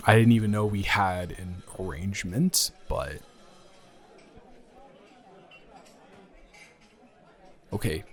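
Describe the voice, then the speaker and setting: A man performs a puzzled, coaxing male lover in an intimate improvised audio drama. His voice sits low with a crackly fry. He talks slowly and haltingly, hedging and restarting as he feels his way along. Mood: guarded, wry. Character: confused, jealous male friend with benefits, hesitant and coaxing.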